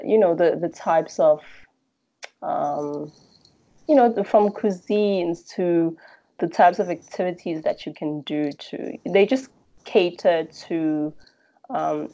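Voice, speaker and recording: South African woman, interview guest, mellow lowish voice, thoughtful hesitant phrasing, slightly muffled recording. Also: creaky. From Durban, South Africa. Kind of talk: reflective conversational interview.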